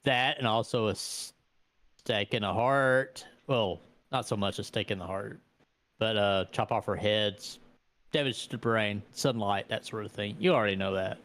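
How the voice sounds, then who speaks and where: A man playing a matter-of-fact vampire in a tabletop game, casually explaining things to a newcomer in halting phrases. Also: lowish voice, steady tone, fairly bright tone.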